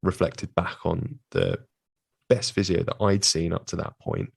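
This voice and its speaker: Deep gravelly man, dry close sound. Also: very animated, dry recording. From United Kingdom. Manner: measured, with many fillers and restarts. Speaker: guest, physiotherapist. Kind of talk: casual interview answers.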